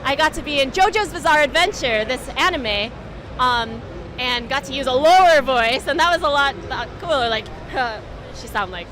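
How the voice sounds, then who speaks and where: A high, bright-toned woman from the United States talks about her voice acting work at a gaming festival. Her pitch swings widely as she laughs often and slips into character voices. A faint crowd murmurs behind her. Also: lively intonation, moderate pace, a little hiss or hum. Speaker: voice actor guest. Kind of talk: casual interview chat.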